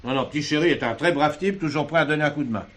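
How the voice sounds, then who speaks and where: Actor as a higher-pitched, placating canteen keeper. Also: male voice, racing, fairly bright tone, lightly acted. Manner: colloquial, placating, grumbling. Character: good-natured, weary canteen keeper, peacemaker. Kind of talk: French stage comedy acting.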